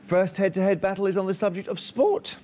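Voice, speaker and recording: A man's voice: sits high, a little fast, a loud crowd. A British man hosting a TV quiz, moving between scripted links and banter with contestants. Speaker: quiz show host.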